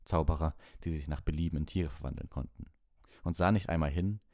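A man with a very low, dark voice narrates a storybook. The sound is dry and close, with a soft, mellow tone suited to an audiobook. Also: a little fast, no echo. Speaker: audiobook reader.

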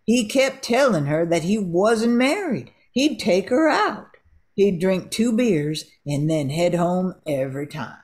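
A woman's voice: fairly low pitch, sing-song, slow, a little mellow. An older woman reading scripted narration for an amateur radio drama.

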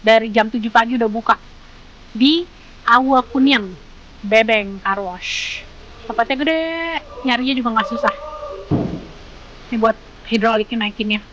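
A woman's voice: on the high side, fairly even intonation, measured pace, pauses often, a bit bright, a little hiss or hum, poor audio. A woman chatting casually on location as a family travel vlogger, showing places to her viewers. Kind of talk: casual on-location vlog chat. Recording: music over the voice.

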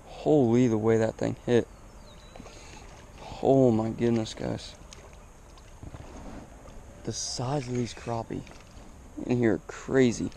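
A man chatting casually to viewers as a fishing vlogger, with an excitable, exclaiming, slangy way of talking, recorded outdoors on a body-worn action camera. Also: medium pitch, slow pace, creaky, pauses often. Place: outdoors on a grassy reservoir bank. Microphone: body-worn action camera mic. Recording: outdoor action camera with faint background noise.

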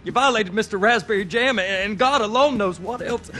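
A man's voice: sits high, brisk, sharp tone, faint music behind. An actor playing a timid, pleading working man in a video game, stammering and hesitant. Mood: nervous pleading.

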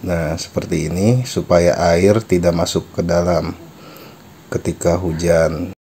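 Low-pitched, slow-talking man. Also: fairly even intonation, dark tone. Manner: explanatory, instructional. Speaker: product tutorial presenter. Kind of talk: step-by-step product tutorial narration. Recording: faint background noise.

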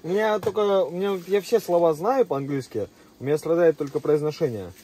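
A man's voice: a little high, a little fast, warm tone, slightly rough. A man in his thirties chatting casually and joking at an outdoor riverside campsite, picked up by the camera at a distance. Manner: joking, self-mocking. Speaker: vlogger. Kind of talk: casual chat.

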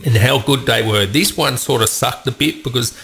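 A man's voice: mid-range voice, normal speed, creaky, a bit bright. A man with a cold and a congested voice, hosting a tech channel with chatty, opinionated commentary and rambling asides. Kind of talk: casual tech news commentary.

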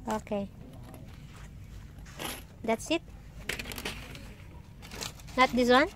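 A woman's voice: fairly high pitch, animated, very slow. A Filipino woman in her thirties with a Filipino accent, chatting casually to a phone as a vlogger. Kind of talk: casual chat to camera. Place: inside a car and a discount store. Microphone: handheld phone at arm's length. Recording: clear ambient car and store noise.

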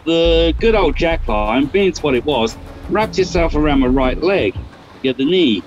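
An older man telling a sailing story in a lively, casual way, swearing and acting out his own reactions, recorded over a video call. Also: a little high, a little slow, soft background music. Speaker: guest sailor. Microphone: video call webcam. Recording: dull lo-fi video call audio.

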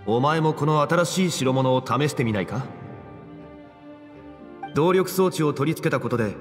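An actor playing a dignified, scholarly gentleman in an anime game, formal, measured and calm. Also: on the high side, in a large hall, natural voice. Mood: composed, musing. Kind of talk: Japanese anime game voice acting. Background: background music.

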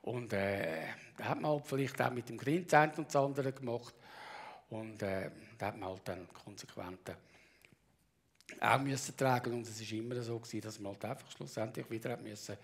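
A middle-aged or older man with a Bernese Swiss German accent, giving a halting personal account on stage and often clearing his throat. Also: mid-range voice. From Bernese Oberland, Switzerland. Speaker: practitioner giving a talk.

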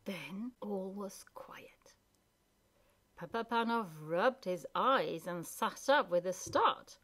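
A woman storyteller reading a storybook aloud in an expressive narrating style. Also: mid-range voice, slow pace.

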